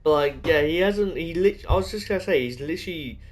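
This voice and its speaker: A man's voice: fairly high pitch, animated, brisk. A young man giving casual, off-the-cuff reaction commentary as a game streamer. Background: faint game music.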